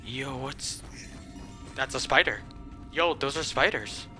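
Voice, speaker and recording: A man's voice: mid-range voice, takes it slowly, pushed and bright, soft background music. A man reacting live to a video game, jumpy and exclaiming, often panicky and rambling. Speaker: gameplay streamer.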